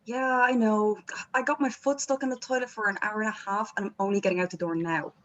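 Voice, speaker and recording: A woman's voice: medium pitch, steady tone, fast, lo-fi sound, a little hiss or hum, lightly acted. A woman playing a flustered, apologetic rock band manager in a script read, with a hesitant, wry manner. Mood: sheepish. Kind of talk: informal script table read.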